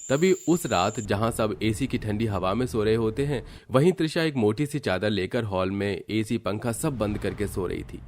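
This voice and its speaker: Low-voiced man narrating a cartoon story. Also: moderate pace, hardly any pauses, darkish tone, a little hiss or hum.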